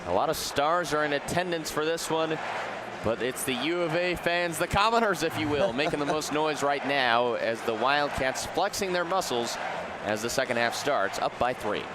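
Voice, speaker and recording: A man's voice: on the high side, animated, normal speed. A young man calling a game live as a play-by-play sports announcer. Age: young adult. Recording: noisy arena and ballpark ambience. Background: sports venue noise, bouncing basketballs.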